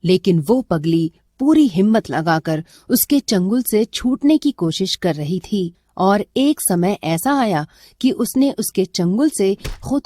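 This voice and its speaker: Female storyteller for dramatic tales: unhurried, soft dark tone, dry close recording. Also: mid-range voice, dry recording. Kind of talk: scripted dramatic story narration.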